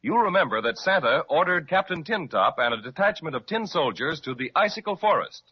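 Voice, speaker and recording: A man's voice: fairly high pitch, very animated, brisk, breathy edge. Man narrating a 1930s radio serial. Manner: warm storyteller, addresses listeners. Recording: dull lo-fi 1930s radio with music.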